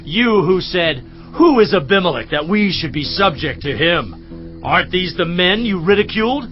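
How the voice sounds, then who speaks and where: An angry, mocking city governor in a Bible drama. The male voice is high and very animated, goading and declaiming. It is slightly muffled, with faint music beneath. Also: normal speed. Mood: taunting. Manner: taunting, declamatory. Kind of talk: dramatised audio Bible acting. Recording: muffled, band-limited audio with faint music.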